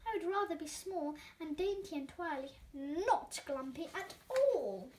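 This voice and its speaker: A woman's voice: very high voice, animated, unhurried, a little mellow, slight background noise. A young female reader voicing a shy, gloomy male cave creature from a storybook, hesitant and earnest. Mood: wistful.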